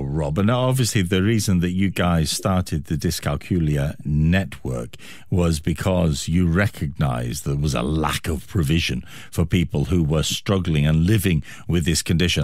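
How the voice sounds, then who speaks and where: A man's voice: low voice, expressive, normal speed, darkish tone, dry recording, studio quality. A man with a British accent hosting a radio interview, phrasing his questions with emphasis.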